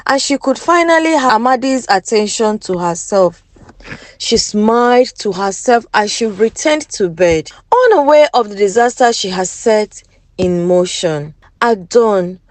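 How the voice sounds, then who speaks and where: A woman's voice: on the high side, darkish tone, no room sound. A woman with a Nigerian accent narrating a folktale in a dramatic storytelling style.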